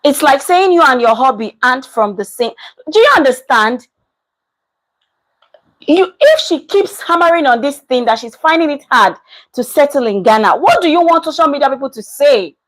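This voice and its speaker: A woman talks through a casual reaction commentary, leaning hard on her points and going back to them. Her voice sits on the higher side. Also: normal speed, poor audio. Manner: animated, emphatic, repeats herself. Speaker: commentary vlogger.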